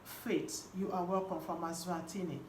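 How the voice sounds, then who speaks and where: A woman's voice: fairly low pitch, fairly even intonation, moderate pace, a little mellow. A woman with a Nigerian accent giving a live online coaching talk as host, speaking emphatically and repeating key phrases. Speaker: host and women's health coach. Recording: roomy echo with faint background noise.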